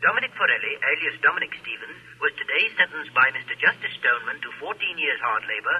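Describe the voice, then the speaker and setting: An actor playing a formal British radio news announcer, clipped and authoritative, reading a bulletin in an old-time drama. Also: male voice, mid-range voice, big pitch swings, quick pace, slightly rough. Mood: urgent, formal. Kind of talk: 1940s-style radio news bulletin acting. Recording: dull, noisy old radio broadcast.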